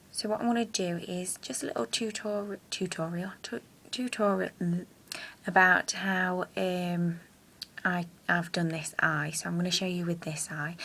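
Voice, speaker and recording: A young woman with a croaky voice, chatting casually through a makeup tutorial at home with hesitant fillers. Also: on the low side, a bit bright, a little hiss or hum. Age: young adult. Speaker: makeup vlogger. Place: small room at home, indoors. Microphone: built-in camera mic at close range.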